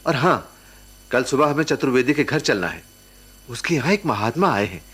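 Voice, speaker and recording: A husky, lively middle-aged husband. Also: male voice, mid-range voice, normal speed. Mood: explaining, mildly impatient. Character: easygoing middle-aged husband and office worker. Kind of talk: Hindi radio play acting.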